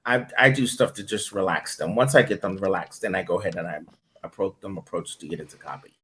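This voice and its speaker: A man's voice: sits low, fast, a bit bright, slight background noise. A man, a voiceover director and coach, giving blunt tough-love advice as an interview guest.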